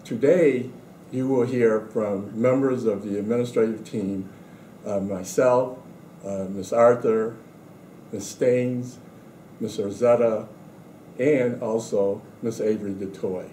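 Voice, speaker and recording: A man on a school staff reading aloud to children in a measured, deliberate way. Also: fairly low pitch, fairly even intonation, dark tone. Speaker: school administrator introducing and reading a story. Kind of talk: scripted read-aloud for children. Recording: roomy echo with faint background noise.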